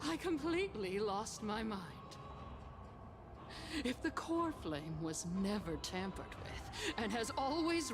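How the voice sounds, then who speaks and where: Casting: unhinged, gloating young villainess in a game, high voice, slow mocking delivery over music. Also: female voice. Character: theatrical, taunting young female villain, unhinged and boastful. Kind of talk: video game story voice acting. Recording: music behind the voice.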